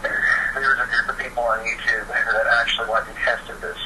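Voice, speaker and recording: A man's voice: mid-range voice, flat delivery, normal speed. A young man chatting casually and explaining his opinions eagerly. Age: teens or young adult. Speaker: participant in a phone chat. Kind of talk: casual chat. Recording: noisy, distant phone-call recording.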